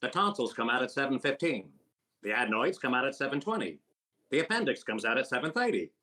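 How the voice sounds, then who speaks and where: Guy doing a fast-talking sharp-toned quack doc, old radio comedy, deadpan jokes. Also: mid-range voice. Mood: wisecracking. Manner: rapid wisecracking patter, punchlines delivered deadpan. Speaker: actor playing a comedian in a radio recreation. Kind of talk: old-time radio comedy with sung patter.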